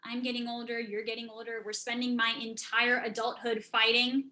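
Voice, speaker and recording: A woman's voice: on the high side, level tone, moderate pace, sharp tone. A woman playing an exasperated, stubborn adult Indian American daughter in an emotional, argumentative staged reading. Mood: hurt, exasperated. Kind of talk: staged reading over video call.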